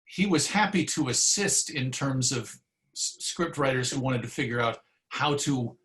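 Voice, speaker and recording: A man's voice: medium pitch, lively intonation, a little slow, a bit bright. A man speaking as an interview guest, telling discursive, articulate anecdotes in a conversational way about his field of history.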